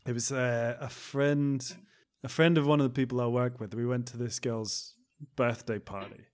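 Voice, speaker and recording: A young man co-hosting a podcast in a casual chat, adding quick interjections and short anecdotes. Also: mid-range voice, steady tone, a little mellow. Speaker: podcast co-host.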